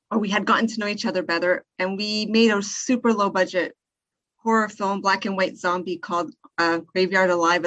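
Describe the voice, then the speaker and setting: A woman's voice: medium pitch, a bit bright, poor audio. A woman interviewed as a film writer, telling her own story conversationally. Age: 30s or older.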